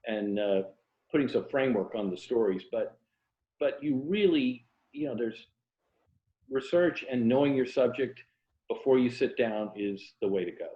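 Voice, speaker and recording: A man's voice: mid-range voice, normal speed. A mature American man talking casually and reflectively as a writer being interviewed. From Tampa, Florida, United States. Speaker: interview guest, writer and former journalist. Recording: roomy echo, dull muffled sound.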